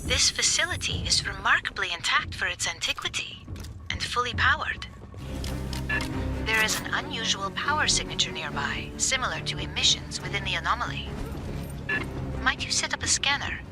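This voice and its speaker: A woman voicing a polite android analyst in a video game, speaking in a formal, measured and precise way. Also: on the high side, lively intonation, over loud music, natural voice. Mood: precise, calm. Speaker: team's technical analyst and translator.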